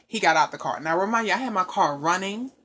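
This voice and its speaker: A woman telling a long personal story in casual chat, rambling and animated, with frequent asides to her listeners. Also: fairly low pitch, fast.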